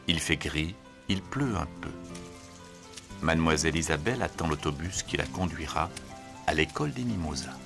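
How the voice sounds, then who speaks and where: A man narrating a children's cartoon tale in an expressive storybook manner. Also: very deep voice, moderate pace, vocal fry, music in the background.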